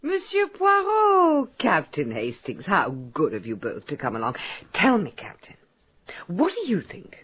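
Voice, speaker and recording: A vain, flighty society lady in a radio play. Her delivery is airy and theatrical, swooping widely in pitch. The sound is slightly muffled. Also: huge pitch swings, a little slow, breathy edge, heavily acted. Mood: gushing. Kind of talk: radio drama acting.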